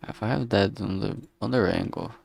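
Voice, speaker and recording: A man streaming as he works, thinking aloud casually in a halting way and muttering to himself. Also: lowish voice, creaky, a little mellow, no room sound. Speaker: streamer making a visual novel. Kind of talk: casual think-aloud art livestream commentary.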